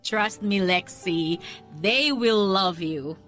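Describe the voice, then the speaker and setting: A fond, encouraging woman school head in a radio drama. Her voice swings in an animated, effusive way with a teacherly touch, over clearly audible music. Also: mid-range voice, extremely slow. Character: warm, nostalgic woman school owner, encouraging older colleague. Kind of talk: radio drama dialogue in Taglish.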